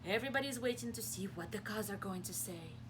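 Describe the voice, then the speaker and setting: A woman reading a picture book aloud to young children as a preschool teacher, expressively, pausing to explain simply and ask questions. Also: medium pitch, noisy background. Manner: expressive, asks questions and pauses for answers.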